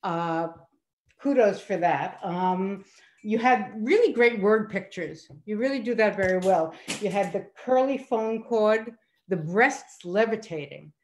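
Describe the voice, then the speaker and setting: A woman's voice: medium pitch, lively intonation, measured pace, slight background noise. An older woman speaking off the cuff as a judge, giving emphatic, complimentary critique. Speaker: guest judge at a story slam. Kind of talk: spontaneous spoken critique.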